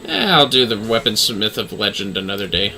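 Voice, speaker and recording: A man's voice: on the low side, animated, a little fast, noisy background. A man giving casual, rambling livestream game commentary, swearing now and then. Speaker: game streamer.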